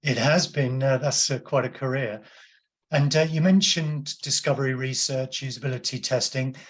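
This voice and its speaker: A man's voice: mid-range voice, moderate pace. A man hosting an interview as the company's founder, asking questions in a friendly way with filler hesitations. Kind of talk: interview host.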